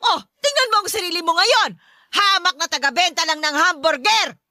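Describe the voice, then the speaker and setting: A woman's voice: very high voice, lively intonation, brisk, bright, forceful tone, no echo, studio quality, exaggerated voice. An actress playing a harsh, belittling Filipino mother in a radio drama, scolding and demanding. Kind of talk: Tagalog radio drama acting.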